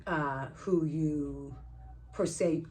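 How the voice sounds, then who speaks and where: A woman's voice: low-pitched, steady tone, very slow, darkish tone, a little hiss or hum. A woman from Canada chatting casually and rambling a little as she co-reviews a movie in a vlog.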